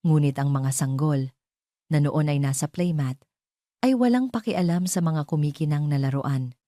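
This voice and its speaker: A woman narrates a scripted romance tale. Her voice is notably low and warm, with a dark tone. The recording is very dry. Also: no room sound. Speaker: story narrator.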